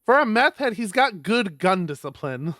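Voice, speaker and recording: A man's voice: high-pitched, very animated, normal speed, a bit bright, dry recording, studio quality. A man reading a visual novel aloud on a stream, expressively, with casual sweary asides.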